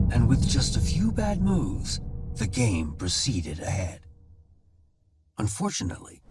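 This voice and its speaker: An actor playing a cold, calculating villain in a video game, speaking in a measured, theatrical way. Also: male voice, low voice, background noise, exaggerated voice. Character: cold, calculating villain man narrating schemes like chess.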